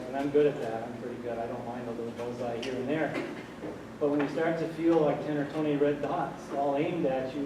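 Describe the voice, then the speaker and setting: A soft-spoken man in his sixties from Vermont, speaking from the floor at a panel discussion in a rambling way with many fillers. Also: mid-range voice. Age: 60s. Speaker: audience member at a panel. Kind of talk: public comment at a panel discussion. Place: large hall. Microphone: distant room mic. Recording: echoey hall, distant noisy mic.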